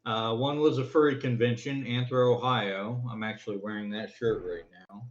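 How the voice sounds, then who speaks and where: A man joining a game league show as a team's player, answering in short, relaxed remarks over a voice call. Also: medium pitch, moderate pace. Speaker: team player guest. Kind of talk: casual chat. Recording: echoey, dull voice-call audio.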